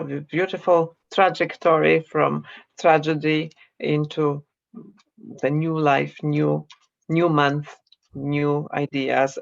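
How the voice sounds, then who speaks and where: A woman from Poland with a Polish accent, reading her poems slowly and introducing them in a measured way on a video call from her home. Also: low voice, creaky, dull audio. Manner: slow, measured reading of verse. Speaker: poet. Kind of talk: poetry reading with spoken introductions. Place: room at home.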